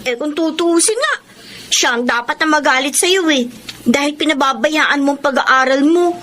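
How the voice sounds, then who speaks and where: Earnest filipino kid sister, super high girlish voice, radio drama, noisy with clicks. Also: normal speed, a bit bright. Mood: reproachful. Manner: pleading, reproachful, girlish. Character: earnest young Filipino daughter, reproachful little sister.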